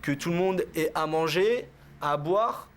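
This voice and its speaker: A man's voice: fairly high pitch, unhurried, soft background music. A man giving casual, slangy answers in an interview, hesitating and repeating his phrases. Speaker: street interviewee. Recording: faint background noise.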